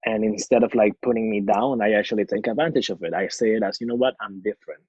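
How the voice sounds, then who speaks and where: Low-voiced young man from Ecuador, easygoing. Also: fairly even intonation, fast, darkish tone, slightly rough, dry recording, lo-fi sound. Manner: easygoing, many fillers. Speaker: podcast co-host. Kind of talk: casual podcast chat.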